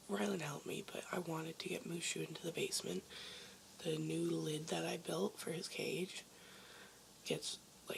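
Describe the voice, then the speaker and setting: A woman vlogger with a hoarse voice, chatting casually and rambling with lots of ums from her basement bedroom. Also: lowish voice, a little hiss or hum, rough recording. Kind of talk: casual chat to camera.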